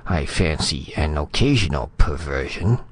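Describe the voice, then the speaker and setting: A man's voice: a bass voice, lively intonation, measured pace, exaggerated voice. A man playing a boisterous, lecherous alien king in a storybook reading, with grandly formal, jovial speech.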